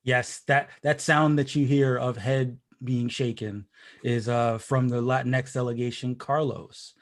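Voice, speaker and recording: A man's voice: medium pitch, steady tone, measured pace. A man hosting a casual podcast, joking around and rambling through many restarts. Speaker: podcast host. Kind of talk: casual chat.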